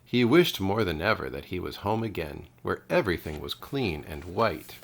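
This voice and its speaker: Male teacher reading picture books, deep gravelly voice, super expressive. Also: moderate pace. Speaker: kindergarten teacher reading aloud. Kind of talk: expressive children's storybook narration.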